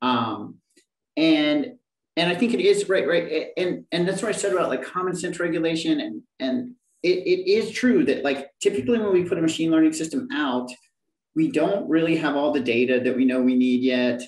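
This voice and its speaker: A man's voice: fairly high pitch, normal speed. Emphatic man on an echoey distant mic. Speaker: panelist, principal scientist. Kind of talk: panel discussion. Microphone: headset on a video call.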